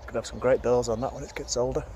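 Man, vlogger, rambling woodland walk, phone mic, loud traffic noise behind. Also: mid-range voice, fast talker. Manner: rambling, relaxed, thinking aloud. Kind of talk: casual walking commentary. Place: woodland outdoors. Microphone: handheld phone.

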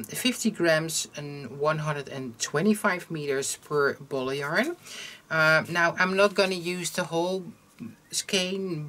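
A Dutch woman with a Dutch accent hosting a crochet tutorial in English, her second language, talking casually and pausing often with ums as she searches for words. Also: low voice, fairly bright tone. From Netherlands. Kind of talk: casual instructional talk. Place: indoors at a table, hands over crafts.

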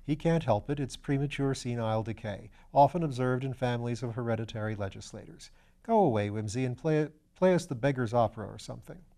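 A man's voice: medium pitch, quick pace, mellow, lightly acted. Storybook part: man playing a sceptical police detective, dry, level-headed, plain-spoken. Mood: dry, doubtful.

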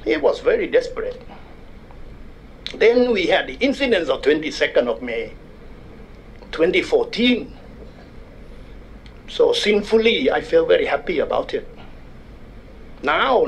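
An older man with a Thai accent, speaking at a desk microphone as a guest speaker at a university public forum, wry and measured with self-deprecating humor. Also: a little high, very animated, vocal fry, a little hiss or hum, rough recording. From Thailand. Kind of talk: public lecture. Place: university conference room.

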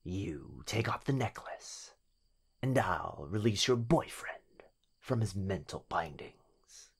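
A sinister living shadow in a yandere ASMR roleplay. The voice is very breathy and husky, often sinking toward a whisper. It drawls slowly with a mocking, possessive tenderness and predatory menace. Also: male voice, deep voice, lively intonation, heavily acted. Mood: smug, menacing.

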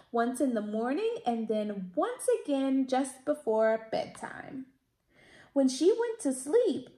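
A woman in her thirties reads a children's picture book aloud expressively, with deliberate, theatrical emphasis. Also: high-pitched, dark tone. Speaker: library storytime reader. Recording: roomy indoor echo.

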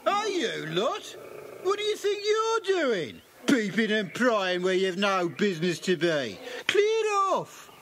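Gruff, shouting circus worker, high and animated, audio drama. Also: male voice, slow, slight background noise, full character voice. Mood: angry. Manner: angry, scolding. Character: gruff adult male circus hand guarding a caravan. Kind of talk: radio play acting.

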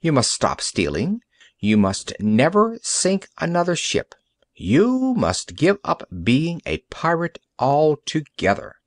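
A kindly but stern doctor from a children's storybook, as a reader puts him on. He gives commands slowly and firmly, with a lively pitch. The sound is dry and close. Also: dry recording, full character voice. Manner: firm, commanding. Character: stern, kindly male doctor who talks to animals.

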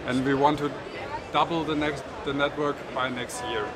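A man's voice: fairly high pitch, fairly even intonation, normal speed, a loud crowd, heavy background noise. A young man presenting his company at a trade fair, speaking briefly and factually with some hesitation. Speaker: company representative. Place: busy indoor exhibition hall.

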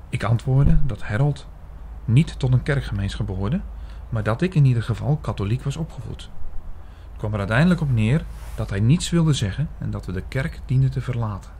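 A man playing an earnest investigator who tells his own story in an audio drama, in a measured way. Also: mid-range voice, expressive, mellow, a little hiss or hum, close to an everyday voice. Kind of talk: scripted audio drama narration.

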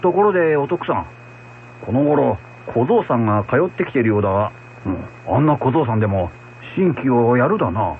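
An actor playing a good-natured, rough-spoken rustic packhorse driver in a radio drama. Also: male voice, mid-range voice, sing-song, a little fast. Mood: curious, friendly. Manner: rough, folksy, colloquial. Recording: muffled radio recording with hum, faint noise.

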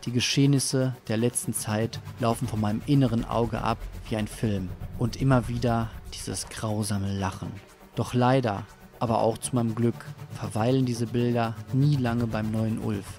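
Man playing tormented disoriented man, brooding audio drama narration, medium pitch, music underneath. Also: steady tone, normal speed, darkish tone, close to an everyday voice. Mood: anguished, brooding. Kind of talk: scripted first-person audio drama narration.

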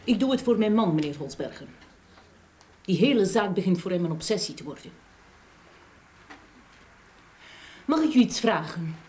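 An actress playing a frank, scornful, devoted middle-aged wife in a radio drama, with an accent from the Netherlands. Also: female voice, mid-range voice, animated, brisk, a little mellow, lightly acted. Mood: worried, earnest. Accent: Dutch from the Netherlands. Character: outspoken, wronged but devoted middle-aged Dutch wife. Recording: old radio play with background hiss.

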